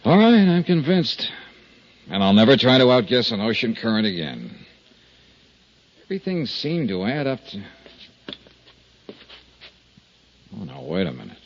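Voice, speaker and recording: An actor playing a brash, wisecracking freelance insurance investigator in a 1950s radio drama, with punchy, wry delivery. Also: male voice, mid-range voice, huge pitch swings, normal speed, long pauses. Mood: puzzled. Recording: dull 1950s radio recording, music underneath.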